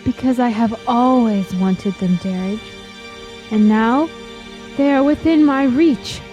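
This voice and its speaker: A woman's voice: fairly high pitch, slow, dark tone, dull audio, faint music behind. A woman playing a naive, yearning young traveler in a fantasy audio drama, speaking in a wide-eyed, wistful, formal way. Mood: dreamy, yearning.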